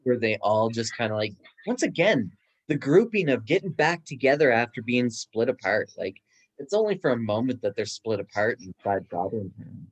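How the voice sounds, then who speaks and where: A man's voice: medium pitch, sing-song, moderate pace, poor audio. A man chatting casually and enthusiastically in a book discussion. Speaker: book club guest, bookstore worker.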